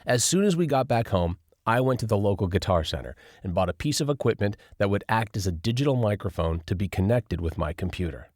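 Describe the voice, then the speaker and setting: A man narrating a first-person nonfiction audiobook in an expressive, lively reading style. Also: lowish voice, fairly quick, hardly any pauses, no echo, studio quality.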